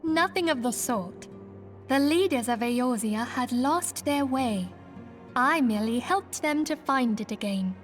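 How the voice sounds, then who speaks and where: A woman playing a gracious, earnest young leader of a fantasy order, speaking in formal, archaic, measured diction in a video game cutscene. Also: high-pitched, expressive, faint music behind. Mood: relieved, gentle.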